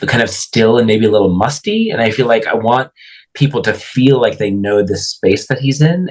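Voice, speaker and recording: A man's voice: lowish voice, slightly rough, rough recording. A man speaking as a composer in a panel conversation, reflective and choosing his phrases with care. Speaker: composer being interviewed.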